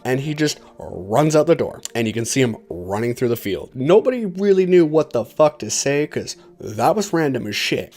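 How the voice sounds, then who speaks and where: A man's voice: on the low side, a little mellow. A young man telling personal stories in a casual, rambling, laid-back way, swearing freely. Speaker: storyteller on his own video channel. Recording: voice over background music. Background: music.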